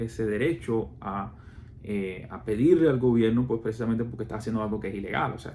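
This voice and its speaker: A man's voice: medium pitch, a little mellow, slight background noise. A man from Cuba with a Cuban Spanish accent, explaining his views in casual talk, full of fillers, restarts and rhetorical questions.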